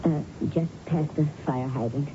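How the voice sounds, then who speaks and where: An actress playing an observant, quietly composed policeman's widow in a radio drama, speaking thoughtfully and haltingly. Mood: uneasy, understated. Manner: low-key, thoughtful, halting. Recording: dull, noisy old radio recording.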